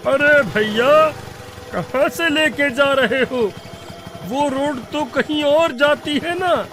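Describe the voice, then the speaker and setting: A man playing a hurried, anxious traveller in a cartoon horror story, fretful and pleading. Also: very high voice, background noise. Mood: impatient.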